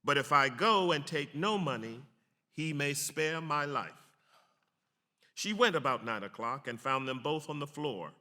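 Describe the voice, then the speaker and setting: A man declaims a historic text in a formal, emphatic style. His voice is fairly high and extremely bright and tense, with long reverb from a big hall. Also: lively intonation, a little slow. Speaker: actor reading aloud. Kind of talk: dramatic reading of a historic pamphlet.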